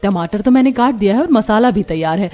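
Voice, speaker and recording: A woman's voice: medium pitch, expressive, fast talker, mellow, lightly acted. A woman voicing a cheerful, hardworking young village daughter-in-law in a cartoon story. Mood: pleased.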